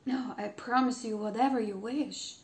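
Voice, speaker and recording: A woman's voice: on the high side, fairly even intonation, moderate pace, roomy sound, lightly acted. A woman doing a squeamish spoiled princess. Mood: fretful.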